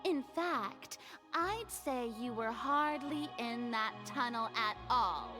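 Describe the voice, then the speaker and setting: A woman's voice: fairly high pitch, lively intonation, takes it slowly. A woman playing a smug, grandly self-assured young female prosecutor in a video game, declaiming in a pompous, showy way. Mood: confident accusation. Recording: music behind the voice.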